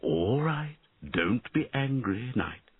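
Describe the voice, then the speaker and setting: A man voicing a gentle, sad, thoughtful dragon in a storybook, speaking quietly and in a measured way. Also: mid-range voice, lively intonation, breathy edge, dry recording, phone-quality sound, voice pushed up. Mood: soothing.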